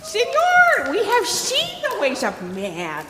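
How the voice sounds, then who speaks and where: A woman's voice: very high-pitched, huge pitch swings, takes it slowly, voice pushed up, heavily acted. A woman voicing an earnest monkey giving an emphatic warning, in live storytelling. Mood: urgent warning. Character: earnest monkey warning a man about trees. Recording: echoey hall, faint background noise.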